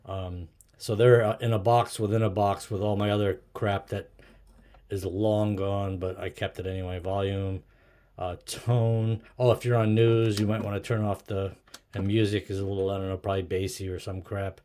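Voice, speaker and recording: A man's voice: fairly low pitch, level tone, normal speed, dark tone. A middle-aged man talking casually to himself as he shows something at home, hesitant, musing and self-deprecating. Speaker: amateur video maker. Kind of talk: casual rambling talk to himself while showing an object. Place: indoors at a wooden desk in a home room. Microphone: camera or phone on a tripod. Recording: clearly noisy home recording with clicks.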